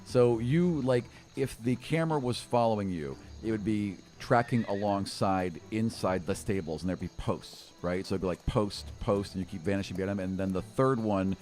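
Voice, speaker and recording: A man's voice: on the low side, normal speed, few pauses, darkish tone. A middle-aged man casually narrating an improvised tabletop game as the game master. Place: small indoor studio at a table. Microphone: close podcast microphone on stand.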